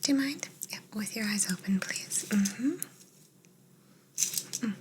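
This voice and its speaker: A woman whispering softly and breathily in an ASMR roleplay as a polite, curious, clinical alien examining a human. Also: medium pitch, background noise. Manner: slow, polite, clinical, murmuring short reassurances.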